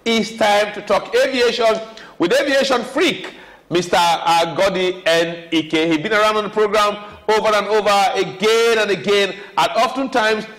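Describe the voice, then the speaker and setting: A man's voice: sits high, normal speed, fairly bright tone, low-quality recording. A man with a Nigerian accent hosting a TV talk show, rambling and emphatic with many hesitations. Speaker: TV show host.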